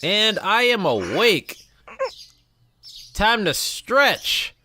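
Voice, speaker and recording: A man's voice: high voice, big pitch swings, extremely slow, bright, forceful tone, slight background noise. A man hosting a gameplay video, reading visual novel text aloud and dropping in informal asides. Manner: informal, reacts to the text he reads.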